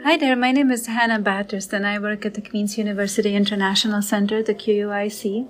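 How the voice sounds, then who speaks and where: A woman coordinator whose first language is not English, introducing a conversation program in a friendly, unhurried way with many fillers. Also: medium pitch, fairly even intonation, loud music behind the voice.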